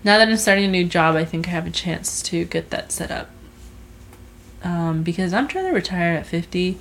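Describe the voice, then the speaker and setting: A woman's voice: on the low side, animated, moderate pace, creaky, fairly bright tone, slight background noise. A woman in her twenties chatting casually about her resolutions, telling a story with lots of fillers and restarts. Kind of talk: casual chat.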